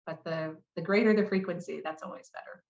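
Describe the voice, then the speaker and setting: A woman's voice: fairly low pitch, fairly even intonation, brisk, gravelly, a little mellow. A woman giving a business presentation and answering questions as a marketing lead. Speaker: conference presenter, head of customer marketing. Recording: roomy echo, slightly muffled sound.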